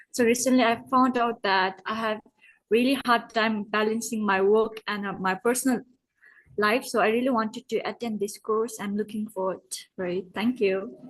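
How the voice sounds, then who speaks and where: A woman's voice: fairly high pitch, no room sound, poor audio. A young woman with a Bhutanese accent, a student giving a polite self-introduction on an online call. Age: young adult. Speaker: participant, forestry student.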